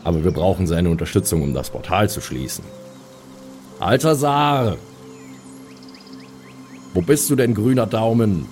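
Man playing a weary low-voiced cleric. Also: animated, a little slow, pauses often, a little mellow, soft background music. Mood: urgent. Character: weary, grudgingly polite male fantasy cleric. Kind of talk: pen-and-paper audio play role-playing.

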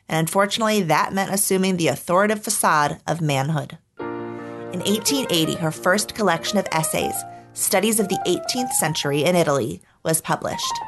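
A woman hosting a podcast, reading scripted narration in a measured, articulate storytelling manner. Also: on the low side. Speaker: podcast host. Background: background music.

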